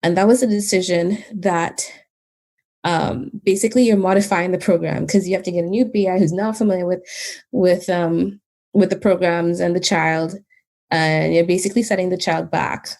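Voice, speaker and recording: A woman from Trinidad and Tobago, speaking with a blend of Canadian and Trinidadian accent, talks calmly and in a measured way as a podcast guest, often laughing lightly. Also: fairly low pitch. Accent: Trinidadian mixed with Canadian. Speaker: guest, behaviour analyst. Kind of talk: casual interview conversation.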